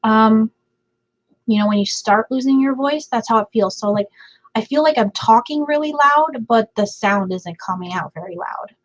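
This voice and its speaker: A woman's voice: on the high side, normal speed, highs cut off. A woman hosting a livestream from home, chatting casually with viewers while her voice is scratchy and fading, with lots of laughter and fillers. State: scratchy, half-lost voice. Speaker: livestream host. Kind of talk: casual chat reading viewer comments.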